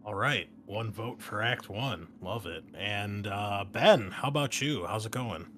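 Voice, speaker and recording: A man's voice: lowish voice, animated, measured pace, noisy background. A man hosting a tabletop game as game master, chatting casually and teasing the players. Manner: jokey, teasing.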